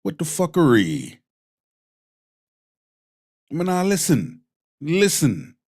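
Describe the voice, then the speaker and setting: A man's voice: fairly high pitch, wildly swooping pitch, a little mellow. A man with a Jamaican accent hosting a stream, commenting bluntly on clips like a preacher. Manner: slow, preachy and blunt, with profanity. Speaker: host.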